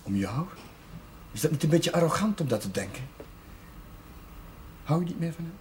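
An actor playing a gentle, flustered, self-mocking young village priest in a television period drama, speaking hesitantly. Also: male voice, a little high, lively intonation, moderate pace, slight background noise, lightly acted. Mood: subdued, earnest. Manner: hesitant, stammering. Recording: music behind, 1970s television soundtrack.

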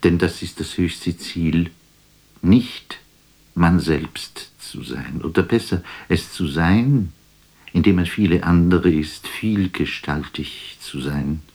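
A deep, slightly gravelly male voice a tormented theatre actor in a radio play. He delivers poetic monologue very slowly and theatrically, full of self-doubt and melancholy. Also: no room sound, exaggerated voice. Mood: grave, reflective. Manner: declamatory, poetic, swinging from hushed murmur to impassioned outcry.

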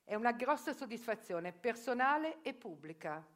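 A woman playing a raspy, proud older sworn virgin. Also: fairly high pitch, very animated, normal speed. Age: 60s. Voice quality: raspy, like a heavy smoker. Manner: firm, proud, emphatic answers. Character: sixty-six-year-old sworn virgin living as a man, proud and tough.

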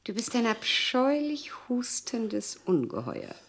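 Slow, breathy, domineering woman. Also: on the high side, wildly swooping pitch, exaggerated voice. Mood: indignant, scornful. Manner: commanding, drawn-out, often breathy and hushed. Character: domineering, sensual woman obsessed with a lost lover. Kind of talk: German radio play acting.

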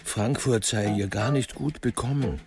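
Low, creaky-voiced man narrating. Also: over music. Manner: measured, literary storytelling. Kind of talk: scripted radio crime drama narration.

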